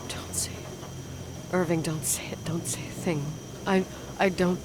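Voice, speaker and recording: An actress playing a distraught grown woman haunted by a repressed memory, speaking in a hushed, halting, anguished way in a dramatic video game. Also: on the low side, steady tone, lightly acted. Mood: anguished, breaking down. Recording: clearly noisy ambience behind the voice.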